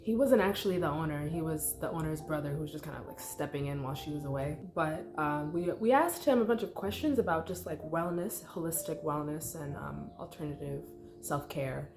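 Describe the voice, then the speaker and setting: A woman's voice: deep voice, fairly quick, noisy background. A congested young woman talking casually through a meditation, rambling with lots of likes and ums. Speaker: meditation vlogger. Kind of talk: casual unscripted guided meditation talk. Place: her apartment. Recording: echoey room with faint music.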